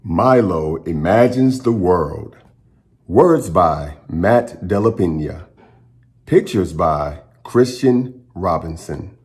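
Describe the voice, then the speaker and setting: A man with a slightly low voice hosts a read-aloud for children. His delivery is unhurried and highly animated in intonation. He addresses young listeners directly, expressive and encouraging throughout. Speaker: children's storytime host.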